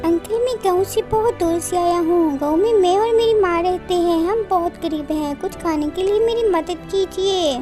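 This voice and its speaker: Very high-pitched, pleading little boy, acted out. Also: normal speed, few pauses. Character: poor young village boy, polite, pleading, troubled. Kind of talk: Hindi children's cartoon story acting. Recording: music behind the voice.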